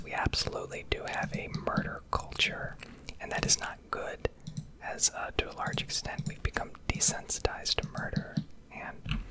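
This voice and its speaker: A young American woman from Connecticut whispering a blunt, sweary, rambling ASMR rant in a breathy, unvoiced voice. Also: low-quality recording. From Connecticut, United States. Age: young adult. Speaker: ASMR streamer.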